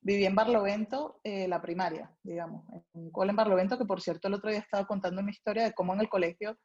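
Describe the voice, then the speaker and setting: A woman's voice: medium pitch, fairly even intonation, fast, darkish tone, lo-fi sound. A young adult woman from Venezuela with a Venezuelan Spanish accent, chatting as a guest in an interview about her life. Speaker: guest, food writer. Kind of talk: casual interview chat.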